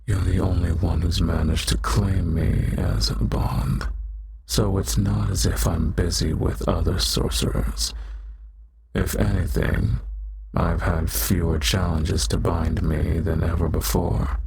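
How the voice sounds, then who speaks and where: A man's voice: a little hiss or hum, full character voice. A man playing a powerful, devoted and possessive demon servant in a roleplay audio drama, speaking formally and reverently with an undertone of menace. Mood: reverent, pleased. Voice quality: deep, gravelly, husky.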